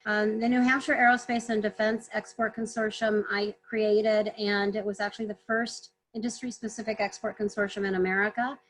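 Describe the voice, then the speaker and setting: A woman guest on a video call webinar, answering earnestly, clearing her throat often as she is losing her voice. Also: medium pitch, flat delivery, moderate pace, hardly any pauses, slight background noise, rough recording. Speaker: trade consultant guest.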